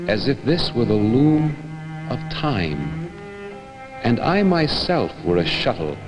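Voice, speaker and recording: A man giving a measured, theatrical staged reading of literature, as an actor would in a 1960s broadcast. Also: medium pitch. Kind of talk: dramatic literary recitation. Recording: hall reverb with music behind, 1960s broadcast. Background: music.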